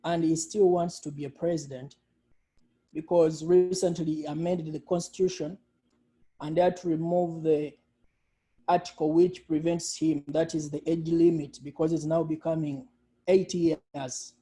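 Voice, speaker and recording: A man in his thirties from Uganda, speaking slowly and deliberately as a panelist on a video call. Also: fairly high pitch, level tone, warm tone, husky, rough recording. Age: 30s. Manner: slow, deliberate speech.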